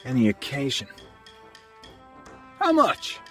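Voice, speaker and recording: An actor playing an easygoing, wry adventurer with a husky voice in an audio fantasy drama. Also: medium pitch, huge pitch swings, slow pace, music in the background. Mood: curious. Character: teasing, easygoing armored adventurer.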